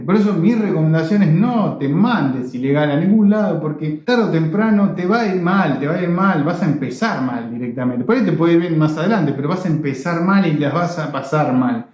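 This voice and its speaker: A man's voice: a little high, darkish tone. A man with an Argentine accent giving opinionated commentary straight to the viewer, emphatic and repeating phrases to drive points home. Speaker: video blogger. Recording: echoey, reverberant room.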